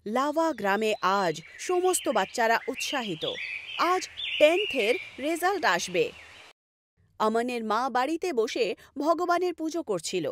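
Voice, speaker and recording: A woman's voice: sits high, sing-song, a little slow, a little hiss or hum. A woman delivering scripted narration for a horror story. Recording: loud music bed under voice.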